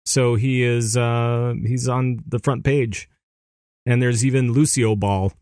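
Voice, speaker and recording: Excitable man hosting a gaming podcast. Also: medium pitch, slow, dry recording, studio quality. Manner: animated, excitable, laughs often. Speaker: podcast host. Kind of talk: casual gaming chat.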